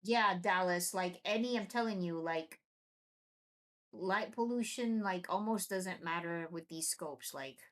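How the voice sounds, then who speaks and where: A young woman from Venezuela hosting a live stream, chatting excitedly and answering viewers' comments. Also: fairly low pitch, measured pace. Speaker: livestream host.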